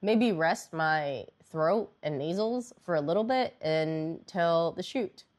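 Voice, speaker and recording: A woman's voice: on the low side, takes it slowly, a little mellow. A young woman streamer chatting casually with viewers, congested and nasal, rambling with lots of fillers.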